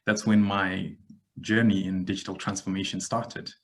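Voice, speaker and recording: A man in his thirties from Zimbabwe, a podcast guest giving a measured, reflective account with frequent pauses. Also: low-pitched, level tone. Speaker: guest, digital transformation expert. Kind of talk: podcast interview answer.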